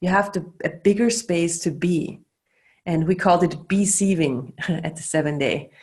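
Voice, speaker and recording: A woman's voice: on the low side, moderate pace, a little mellow. A middle-aged woman, a facilitator talking loosely over a video call, full of fillers and restarts. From Austria. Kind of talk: casual teaching talk.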